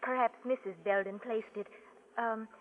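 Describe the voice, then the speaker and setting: A courteous young woman, played by an actress in a vintage 1940s radio drama. Her voice is high, with an apologetic, hesitant politeness. The recording is old and dull. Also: steady tone, normal speed, natural voice. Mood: polite, hesitant.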